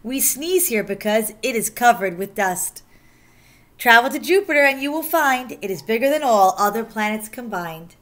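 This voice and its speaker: A woman teacher reading a rhyming children's picture book aloud in an animated, sing-song way, stressing key words. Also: on the high side, normal speed.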